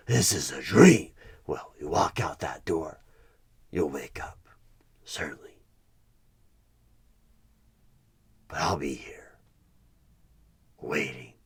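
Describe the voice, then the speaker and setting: A man playing a sinister, smug evil tempter in a horror ASMR roleplay, speaking deliberately in a husky voice with dramatic pauses and frequent whispers. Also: rumbling low, heavily acted. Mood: mocking, ominous. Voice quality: husky, breathy.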